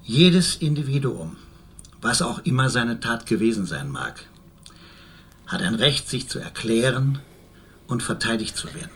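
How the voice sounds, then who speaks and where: Radio drama casting: older male advocate, medium pitch, eloquent, measured, humane conviction. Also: animated, fairly bright tone. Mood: solemn conviction. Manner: measured, rhetorical, deliberate. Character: eminent male defence lawyer, humane, principled, eloquent. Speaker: actor in a radio crime drama. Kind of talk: German radio drama acting.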